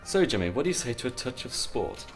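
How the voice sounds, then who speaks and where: A man acting a well-off, boastful, stern middle-aged uncle in a radio drama, with a pompous, measured way of talking. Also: medium pitch, sing-song, soft background music, close to an everyday voice. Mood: boastful, jovial.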